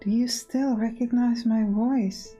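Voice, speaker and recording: Woman acting a mysterious pleading voice, fairly high. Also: steady tone, takes it slowly, mellow, faint music behind. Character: mysterious pleading voice from a lost past, visual novel.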